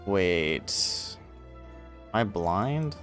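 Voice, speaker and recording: Low-voiced man streaming a game. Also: big pitch swings, extremely slow, fairly bright tone, faint music behind. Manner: thinking aloud, self-questioning. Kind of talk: casual livestream gameplay commentary.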